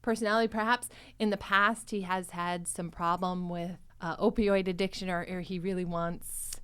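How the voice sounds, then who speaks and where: A woman hosting a podcast, chatting casually and with animated enthusiasm about a show. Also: fairly low pitch, normal speed, clear, resonant tone. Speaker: podcast host.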